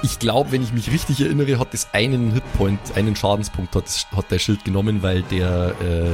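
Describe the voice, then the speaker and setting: Music plays clearly behind a man with a fairly low voice. He runs a tabletop role-playing game, improvising the action in casual speech sprinkled with English gamer terms. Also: steady tone, a little fast. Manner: mixes English gaming slang into his German. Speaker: game master.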